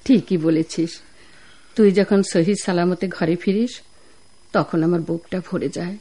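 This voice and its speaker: A woman's voice: lowish voice, fairly even intonation, a little slow, warm tone. A woman with a Bangladeshi accent playing a doting, pious mother of a grown son in a radio drama. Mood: tender, glad. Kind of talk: Bengali radio drama acting.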